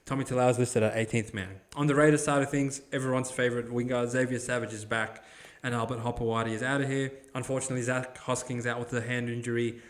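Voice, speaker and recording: A man's voice: mid-range voice, level tone, fast talker. An Australian man hosts a casual sports podcast in an ordinary mid-range voice. He talks in slangy, blunt banter and swears freely as he previews games.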